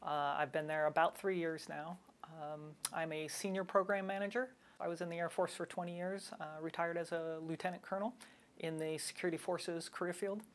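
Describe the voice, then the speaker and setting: A woman in her forties with a low voice talks calmly in an interview, using "um" and "uh" along the way. She grew up in the Bay Area and is a retired Air Force officer. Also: fairly quick, a little hiss or hum. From San Francisco Bay Area. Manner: calm, with "um" and "uh" fillers. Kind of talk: recorded interview testimony.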